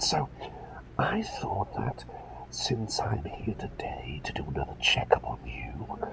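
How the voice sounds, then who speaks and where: A man performing a whispered ASMR roleplay as a gentle, eccentric plague doctor, with courteous old-fashioned phrasing and a dithering, repetitive way of talking. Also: mid-range voice, creaky, rough recording. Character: gentle, eccentric, absent-minded male plague doctor, polite and chatty.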